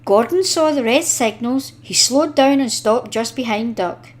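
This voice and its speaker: A woman reading a children's storybook aloud in an expressive, deliberate storytelling manner. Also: a little high. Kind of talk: expressive scripted storybook narration.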